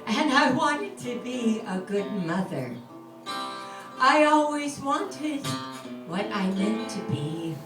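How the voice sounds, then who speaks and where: A woman performing spoken word poetry live in a lounge, slow and dramatic, half singing her lines, with guitar behind her. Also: on the high side. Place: lounge bar stage. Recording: roomy, distant live venue recording.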